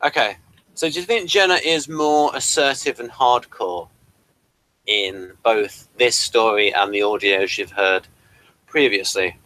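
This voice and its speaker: Bright, crisp-voiced man hosting a casual chat. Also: lowish voice, unhurried, dry recording, rough recording. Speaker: host of a review show. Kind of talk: casual review chat with a guest.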